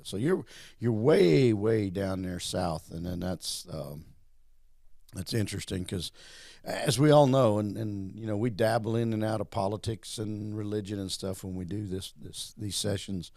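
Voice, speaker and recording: Low gravelly man hosting. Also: unhurried, dark tone, no echo, studio quality. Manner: rambling, repeats words, friendly. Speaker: host. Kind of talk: casual interview.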